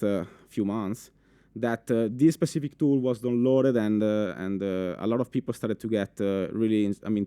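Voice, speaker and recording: Italian-accented man, conference speaker, mellow voice, dry sound, lively with lots of uh and restarts. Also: on the low side, smooth, dry recording. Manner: animated, many fillers and restarts, asks the audience questions. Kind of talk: live conference talk. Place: conference room with a full audience.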